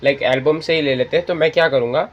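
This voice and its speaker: A man's voice: on the high side, fast, lo-fi sound. A man hosting an app tutorial, explaining step by step and addressing viewers as friends. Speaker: tutorial host. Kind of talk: spoken screen-reader app tutorial.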